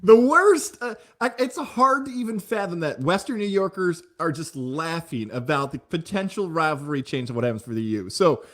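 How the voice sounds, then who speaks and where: A man's voice: on the high side, expressive, moderate pace, few pauses, a bit bright. An American man hosting an interview, conversational and enthusiastic as he prompts his guest. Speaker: interview host.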